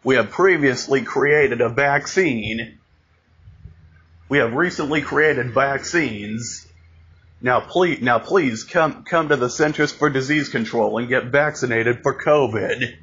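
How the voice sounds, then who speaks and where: A man reading a scripted emergency broadcast announcement, haltingly, repeating and restarting phrases, on a lo-fi recording. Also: medium pitch, fairly bright tone, breathy edge. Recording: dull lo-fi audio, noticeable background noise.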